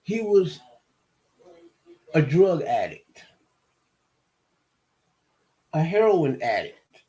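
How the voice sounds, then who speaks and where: Detroit guy in his 50s hosting his show, real slow, warm dark voice, lots of stop-start repeats. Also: a little high, sing-song. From Detroit, USA. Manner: slow, halting, with many restarts and repeated words. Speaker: show host. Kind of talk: personal monologue.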